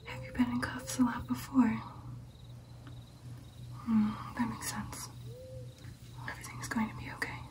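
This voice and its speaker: A woman's voice: a little high, flat delivery, measured pace, pauses often, distant mic, close to an everyday voice. Whispering vampire girlfriend, played by a young woman. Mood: caring, attentive. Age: young adult. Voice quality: breathy, unvoiced whisper. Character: protective female vampire girlfriend, calm and reassuring. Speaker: ASMR roleplay performer. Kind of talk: ASMR whispered roleplay.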